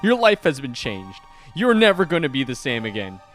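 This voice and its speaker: A man's voice: mid-range voice, very animated, normal speed, a bit bright. A man hosting a scripted commentary video with enthusiastic delivery and dramatic emphasis. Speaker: video host and anime fan. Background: background music.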